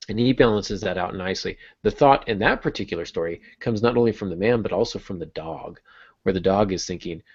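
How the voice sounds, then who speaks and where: A man's voice: sits low, a little fast, darkish tone, breathy edge. A man co-hosting a writing podcast, explaining things in an informal, conversational way. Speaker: podcast co-host. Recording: roomy, slightly dull podcast recording.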